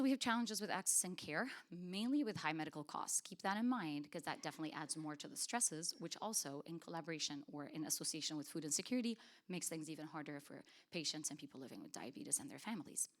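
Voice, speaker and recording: A fairly low-voiced woman from Lebanon presenting at a conference, speaking into a podium microphone. She talks in an earnest, emphatic way, pressing on key words and often restarting her sentences. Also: lively intonation, fast talker. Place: conference hall.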